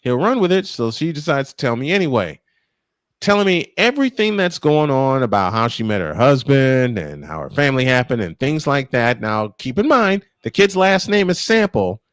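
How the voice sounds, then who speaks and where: A man calling live baseball play-by-play and telling stories in an animated, emphatic way, stretching phrases for drama. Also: mid-range voice, no room sound, studio quality. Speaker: play-by-play sportscaster. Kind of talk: live baseball commentary mixed with animated storytelling.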